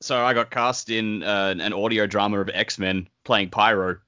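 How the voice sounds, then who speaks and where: A man's voice: fairly low pitch, steady tone, moderate pace, sharp tone, smooth, dry recording. A man with an Australian accent chatting on a podcast as co-host, jokey and emphatic. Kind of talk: casual chat. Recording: dull, muffled podcast audio.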